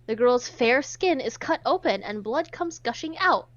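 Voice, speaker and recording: A woman's voice: a little high, animated, normal speed, no room sound. A woman reading a visual novel aloud with dramatic delivery and sarcastic, irreverent asides, on a slightly dull recording. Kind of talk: dramatic read-aloud with casual joking asides.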